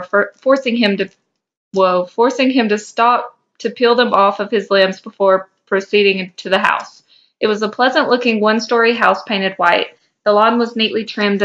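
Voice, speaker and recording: Bright-voiced woman reading fan fiction. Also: mid-range voice, highs cut off. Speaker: podcast co-host.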